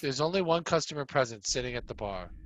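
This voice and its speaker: A man's voice: mid-range voice, expressive, quick pace. A man giving scripted narration, reading stage directions as narrator in an amateur table read.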